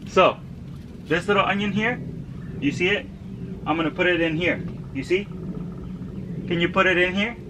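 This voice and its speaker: A man in his thirties from El Salvador, chatting through a casual garden vlog outdoors and gently coaxing a toddler to help. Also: high voice, a little slow, pauses often, background noise. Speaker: home gardener vlogger.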